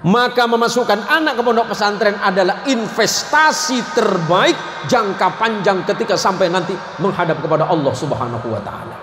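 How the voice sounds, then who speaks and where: A man's voice: high voice, animated, normal speed, hardly any pauses. A man preaching to a live audience with a Madurese-tinged Indonesian accent. He jokes with the crowd, quizzes them and mixes in Madurese and Javanese words. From West Kalimantan, Indonesia. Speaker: Islamic preacher. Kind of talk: humorous sermon to a live audience. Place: large event space with an audience. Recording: echoey venue with faint noise.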